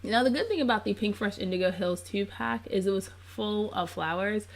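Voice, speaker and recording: A woman's voice: mid-range voice, normal speed. A woman narrating a crafting video in a casual, chatty voice-over. She sounds congested and under the weather. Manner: chatty and relaxed, with frequent ums. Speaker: scrapbook crafter narrating her process video.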